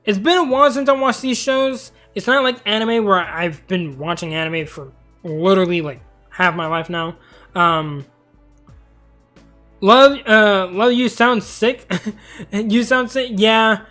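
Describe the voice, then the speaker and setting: A man's voice: high voice, measured pace, pushed and bright, soft background music. A young man streaming and chatting casually about cartoons in a rambling, talkative way. He is tired and losing his voice. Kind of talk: casual live-stream commentary.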